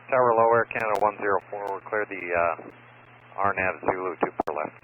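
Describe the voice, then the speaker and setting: A man's voice: fairly low pitch, moderate pace, no echo. A man working as an airline pilot, giving readbacks to the tower over aviation radio. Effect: aviation radio transmission. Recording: muffled, phone-like cockpit radio.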